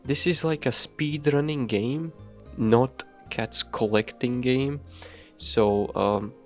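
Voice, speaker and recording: Male devlog narrator, casual and self-mocking, mid-range voice. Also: medium pitch. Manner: loose and offhand, frequent swearing and fillers; self-deprecating, hurried. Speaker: game dev YouTuber.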